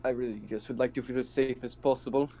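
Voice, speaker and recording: A man's voice: medium pitch, little pitch movement, fast talker, dark tone, a little hiss or hum, close to an everyday voice. A man voicing his role-play character, a nervous officer who hesitantly pleads for extra ammunition. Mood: pleading.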